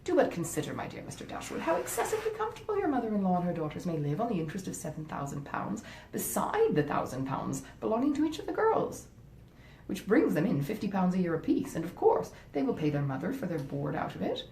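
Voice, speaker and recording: A woman reading a classic novel aloud as a grasping, self-justifying married gentlewoman, genteel, insistent and coolly persuasive. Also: wildly swooping pitch, fast talker, creaky, exaggerated voice. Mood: scornful, insistent. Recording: roomy echo, faint rumbling noise.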